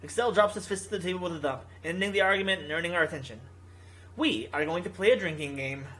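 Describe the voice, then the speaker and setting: A man's voice: high-pitched, quick pace, fairly bright tone. A man reading a visual novel aloud as a gaming video host, stumbling now and then and rereading his lines.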